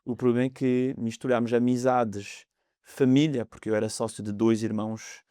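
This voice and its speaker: A man's voice: mid-range voice, flat delivery, moderate pace, warm tone, smooth, no room sound, studio quality. A man in his thirties from France with a French accent, a guest on a podcast interview, talking in a hesitant way with many fillers. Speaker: mortgage broker guest.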